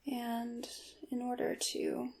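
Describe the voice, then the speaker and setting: Slow, whispery ASMR woman with creaky softness. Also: on the high side, huge pitch swings, slight background noise. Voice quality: soft and breathy, often dropping into a whisper. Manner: slow, deliberate, addressing the listener gently. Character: calm witch apothecary mixing potions. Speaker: ASMR performer playing a witch character. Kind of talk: whispered, soft-spoken ASMR roleplay.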